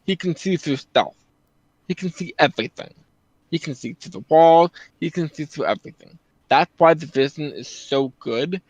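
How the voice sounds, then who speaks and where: A man's voice: on the high side, normal speed, dry recording. A man commenting casually on a game story, explaining it in conversation. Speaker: reaction video commentator. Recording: slightly dull, close dry sound.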